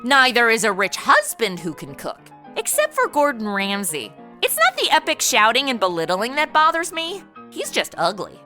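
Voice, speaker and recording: A brash, snarky American party girl played by a woman with a bright, very animated voice. She banters and narrates boozily in a comedy audio drama, music faintly behind. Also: on the high side, normal speed, few pauses, exaggerated voice. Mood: tipsy, snarky. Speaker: lead of the show.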